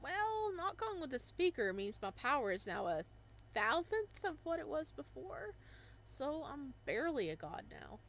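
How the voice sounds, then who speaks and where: A sheepish, goofy young male god of winter stammers and rambles in a lively, fairly high voice, put on by a woman reading a visual novel. He trips over his words when embarrassed. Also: unhurried, a little hiss or hum, exaggerated voice.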